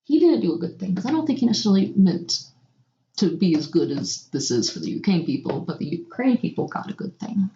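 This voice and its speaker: Woman on a podcast just chatting, kinda low voice, reacts a lot, muffled lo-fi sound w room echo. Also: sing-song, brisk, warm tone, a little hiss or hum. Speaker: podcast co-host. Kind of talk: casual chat. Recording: dull, lo-fi, echoey room.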